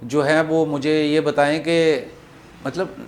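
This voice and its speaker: A man with a Pakistani accent making a prank phone call, rambling and repeating himself in deliberately confusing improvised banter. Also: fairly high pitch, flat delivery. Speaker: prank caller hosting a comedy show.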